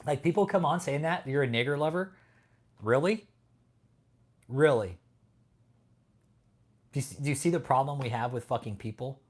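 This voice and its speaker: A man's voice: mid-range voice, moderate pace, long pauses. A man from California hosting a live stream, reading chat and taunting a troll with heavy swearing and laughter. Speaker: livestream host. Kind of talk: casual livestream chat.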